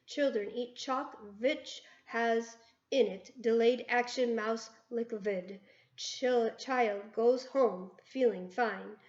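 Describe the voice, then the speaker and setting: A woman voicing a ruthless chief witch who speaks in clipped, broken grammar and gloats loudly. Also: fairly high pitch. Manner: gloating, triumphant shouting. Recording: roomy, dull lo-fi sound.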